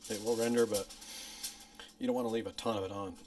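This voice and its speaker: A man hosting his own home cooking channel, explaining each step in a relaxed, chatty way while filming on a handheld phone in his kitchen. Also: quick pace. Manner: louder and higher outdoors by the smoker. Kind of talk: casual cooking tutorial. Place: home kitchen, then outdoors at a backyard smoker. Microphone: phone camera held by the speaker.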